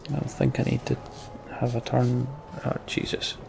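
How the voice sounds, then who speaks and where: A man hosting a let's play, muttering casual commentary as he thinks aloud, with mild swearing and a gravelly voice. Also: mid-range voice, flat delivery, moderate pace, noisy background. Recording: game music behind voice. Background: game music.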